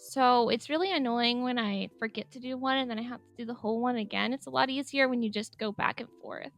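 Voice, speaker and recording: A woman with a high, light voice chatting through a speedpaint voice-over. She rambles, giggles often and fills her sentences with "like". Soft music plays faintly behind her. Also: fast, gravelly. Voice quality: speaks higher than her normal voice on mic. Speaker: artist narrating a speedpaint. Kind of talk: casual voice-over commentary. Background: soft background music.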